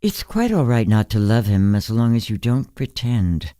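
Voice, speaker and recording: A man voicing a very old, bedridden woman in a literary story, frank and musing, with gentle wryness. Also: on the low side, sing-song, moderate pace, clean tone, dry recording, studio quality. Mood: gently advising. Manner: musing, candid, gently superior. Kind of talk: literary story character reading.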